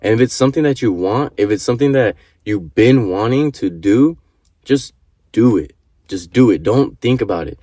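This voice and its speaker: A man's voice: on the low side, animated, normal speed, mellow, no room sound, studio quality. A man in his early twenties giving an earnest motivational talk to camera, repeating his points and swearing casually. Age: 20s. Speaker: vlogger.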